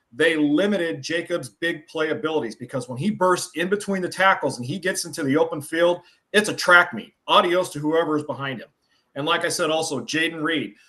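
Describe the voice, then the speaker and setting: A man on a sports talk panel, explaining game stats in a steady, methodical way. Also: fairly high pitch. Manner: steady, stat-heavy explaining. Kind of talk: sports analysis.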